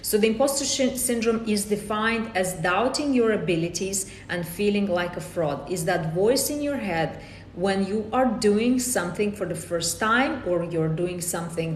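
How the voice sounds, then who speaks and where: A woman from Romania with a Romanian accent, a life coach hosting a live-streamed workshop, greeting viewers by name and often repeating or restarting her phrases. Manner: greets viewers by name and reads comments aloud. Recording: echoey room with faint rumble.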